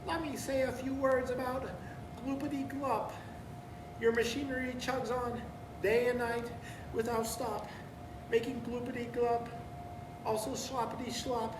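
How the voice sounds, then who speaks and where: A man's voice: flat delivery, unhurried, long pauses. A man voicing an indignant, scolding little storybook creature in rhyme, emphatic and complaining. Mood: scolding, mournful. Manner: loud, emphatic, pleading and complaining. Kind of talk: storybook read-aloud in rhyme. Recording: roomy, distant mic, noisy with rumble.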